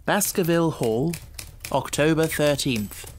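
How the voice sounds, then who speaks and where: A man voicing an earnest, reflective Victorian gentleman doctor, narrating his letters in a formal, measured audiobook style. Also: on the high side, slight background noise, natural voice.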